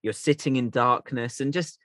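A man's voice: medium pitch, moderate pace, fairly bright tone, dry recording. Male radio host, dry close sound. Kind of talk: light comedy radio interview.

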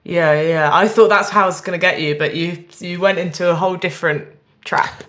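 A woman's voice: fairly low pitch, steady tone, fairly quick, fairly bright tone. A young woman comedian chatting casually as a guest, jokey and self-deprecating. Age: young adult. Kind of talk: casual playful chat.

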